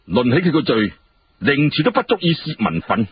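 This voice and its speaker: A man voicing a stern, menacing Chinese emperor in Hong Kong Cantonese, imperious and deliberate, within a told story. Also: sing-song, highs cut off, a little hiss or hum, close to an everyday voice. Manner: imperious, deliberate, low-voiced.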